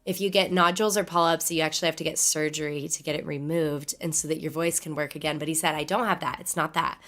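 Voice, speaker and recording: Hoarse lady streamer rambling to chat, lowish voice, kinda strained. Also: steady tone, quick pace, fairly bright tone. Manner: chatty, rambling, answers viewer comments. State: hoarse from laryngitis. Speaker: musician livestreamer. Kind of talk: casual chat with stream viewers.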